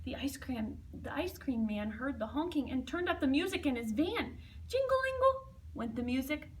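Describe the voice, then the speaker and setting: An expressive woman reads a picture book to young children with lively, swooping intonation. Her voice is high and bright, and she drops into hushed asides between dramatic phrases. She is recorded at some distance from the mic. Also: moderate pace, a little hiss or hum. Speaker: storybook reader.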